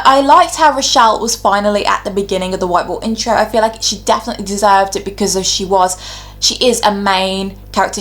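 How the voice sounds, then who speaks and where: Female vlog host, medium pitch, informal and chatty, casual fan commentary with clear opinions. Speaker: YouTube host.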